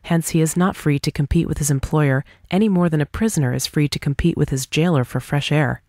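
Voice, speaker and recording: A woman reading the part of a confident, pointed individualist debater in an audiobook dialogue, articulate and deliberate. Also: low voice, mellow, no room sound, studio quality. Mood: pointed, explanatory. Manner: articulate, deliberate, rhetorical questioning.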